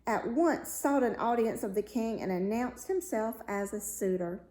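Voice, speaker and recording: A woman reading a fairy tale aloud as narrator, in a measured, expressive storytelling manner. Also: fairly high pitch. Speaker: story reader. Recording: roomy, echoing sound.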